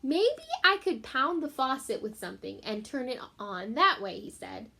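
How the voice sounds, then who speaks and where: A woman teacher reading a children's book aloud in an expressive way, speaking directly to young listeners. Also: fairly high pitch, moderate pace, gravelly, breathy edge. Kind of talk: children's book read-aloud with short explanatory asides.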